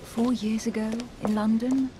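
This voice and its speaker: A woman's voice: level tone, a little slow, natural voice. A woman playing a poised, flirtatious and enigmatic duchess in a video game, refined and teasing. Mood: coolly evasive. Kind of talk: video game cutscene acting. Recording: constant rumble behind the voice.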